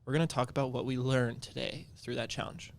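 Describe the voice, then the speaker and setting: A young man with an average-pitched voice co-hosts a podcast. His chat is easygoing and loose, and he drops in casual fillers as he goes. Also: steady tone, brisk, creaky. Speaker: podcast co-host.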